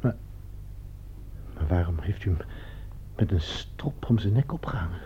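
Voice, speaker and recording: An actor playing a nervous, easily offended addict in a radio play, hesitant and stammering with wariness. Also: male voice, deep voice, lively intonation, moderate pace. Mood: suspicious, uneasy. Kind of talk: Dutch radio play acting. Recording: muffled, noisy old radio play recording.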